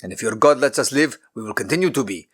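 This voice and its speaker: A man voicing an angry ancient king who speaks in a forceful, accusing way. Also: mid-range voice, lively intonation, quick pace, slightly rough. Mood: stern.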